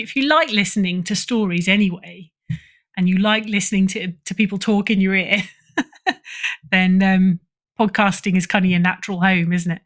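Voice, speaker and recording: A woman's voice: medium pitch, a bit bright. A middle-aged woman with a British accent, chatting casually as an interview guest and often rephrasing mid-sentence. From United Kingdom. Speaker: podcast guest, fiction editor.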